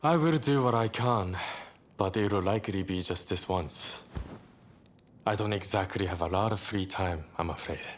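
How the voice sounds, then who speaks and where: A man's voice: low-pitched, moderate pace, a little hiss or hum, lightly acted. A man playing a courteous, roguish samurai rebel leader with wry, theatrical phrasing in a video game cutscene. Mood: wry, breezy.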